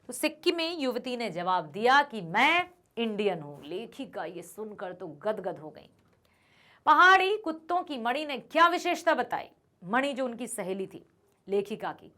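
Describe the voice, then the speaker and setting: Lively woman teacher running a quiz. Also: on the high side. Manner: reads out options, then explains answers; addresses students as children. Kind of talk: exam revision lesson.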